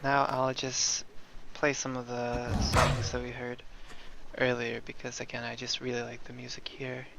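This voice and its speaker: A man's voice: mid-range voice, completely flat delivery, measured pace, creaky, background noise. A man giving casual playthrough commentary, thinking aloud in a hesitant way as he plays. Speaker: gameplay video host. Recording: dull lo-fi mic under game music. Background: game music.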